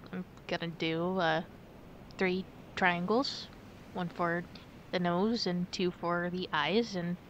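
A young woman playing a playful, affectionate girlfriend in a chatty ASMR roleplay, with gentle hesitant pauses. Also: poor audio. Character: playful, affectionate girlfriend on a pumpkin-picking outing. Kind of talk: ASMR girlfriend roleplay, casual one-sided chat. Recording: rumbly background noise.

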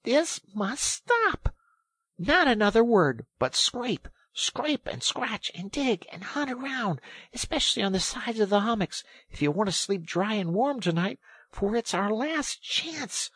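Narrator doing an excitable little rat for a story, way high voice, swoops all over, urgent n exclamatory. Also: measured pace, few pauses, breathy edge, no echo, exaggerated voice. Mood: exasperated. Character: excitable rat, brisk, kindly but easily exasperated. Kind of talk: animated storybook character reading.

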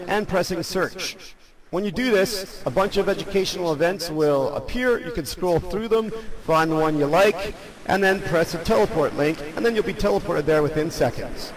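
A man with a fairly high-pitched voice narrates a step-by-step software tutorial. He speaks as a presenter addressing his viewers. A clear murmur of a crowd sits behind his voice.

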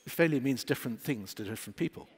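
A man in his fifties, a coach speaking on a panel, measured with slight restarts. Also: medium pitch, animated, husky. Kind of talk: panel discussion. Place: large hall on stage. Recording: hall reverb.

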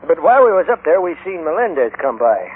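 An actor playing a nervous, folksy western ranch hand with a rural American accent and a husky voice, in old radio style. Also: male voice, fairly high pitch, very animated, quick pace. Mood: deferential, hesitant. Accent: rural American West. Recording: tinny old radio broadcast.

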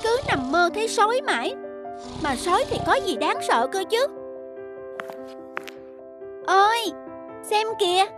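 Woman voicing a squeaky, cocky little donkey boy. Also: very animated, a little slow, pauses often, exaggerated voice. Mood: boastful, thrilled. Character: cocky, playful, stubborn young male donkey foal. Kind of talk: animated fairy-tale dubbing. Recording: loud children's music under the voice.

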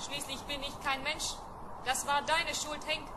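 High, monotone, cocky robot. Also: female voice, a little slow, slight background noise. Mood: pedantic, cool. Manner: precise, matter-of-fact, pedantic. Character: cocky, argumentative spaceship robot with a mind of its own. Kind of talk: 1970s children's radio play acting.

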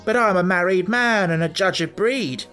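A storybook reader voicing a stubborn, gruff coachman who talks in blunt country dialect. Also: mid-range voice, unhurried, a bit bright, faint music behind, lightly acted. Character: gruff rustic coachman, stubborn married man.

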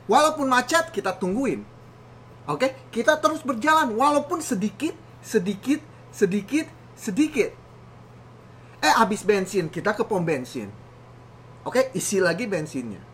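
A man in his thirties, recovering from a cold, gives an animated motivational talk to the camera from a small home office, checking in often with his listeners. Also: sits high, unhurried, a little hiss or hum. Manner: animated, gesturing with both hands, many restarts and "oke?" checks. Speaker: motivational channel host. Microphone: camera at desk distance.

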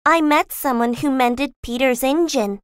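A shy, well-mannered young girl in a children's storybook reading, voiced by a man. Her thin, breathy falsetto sits far above a man's natural range, and she speaks softly and hesitantly. The recording is dry and close. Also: a little slow, dry recording. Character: shy, polite young girl, gentle and earnest.

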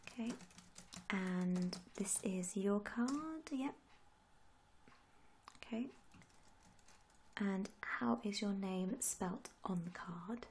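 Young female ASMR artist, gentle and half-whispered, medium pitch, noticeable background noise. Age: young adult. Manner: gentle, hushed, often slipping into whisper. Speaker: ASMR creator playing a tattoo artist. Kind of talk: soft-spoken ASMR roleplay. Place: small room indoors.

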